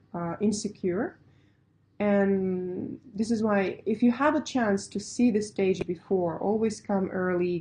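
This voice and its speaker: A woman whose first language is Russian, coaching startups on pitching in a direct, emphatic way, stacking repeated phrases. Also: mellow, low-quality recording.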